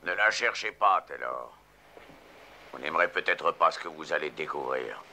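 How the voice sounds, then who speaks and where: A man's voice: a bass voice, lively intonation, normal speed, pushed and bright, slight background noise, full character voice. An actor playing a solemn male ape scholar in a French film dub, speaking in a grave, lecturing way.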